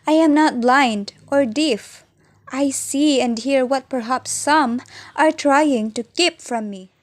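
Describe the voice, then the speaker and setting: A woman's voice: high-pitched, slow pace, no echo. A woman playing a hurt, jealous young fiancée in an amateur radio drama, speaking earnest, reproachful lines. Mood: hurt, accusing.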